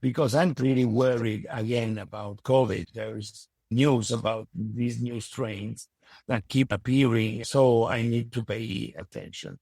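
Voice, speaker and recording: A man speaks English with a strong Italian accent. His voice has a gravelly creak and sits at a medium pitch. He talks reflectively, sometimes searching for words, in a close, dry-sounding conversation. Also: steady tone, measured pace, a little mellow, no echo. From Italy. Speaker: podcast guest and university educator. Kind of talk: conversational interview.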